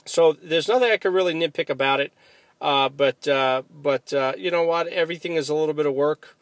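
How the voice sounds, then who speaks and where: A man's voice: on the high side, a little fast, no room sound. An American man in a casual, chatty ham radio conversation, speaking with enthusiasm from inside a car. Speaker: amateur radio operator.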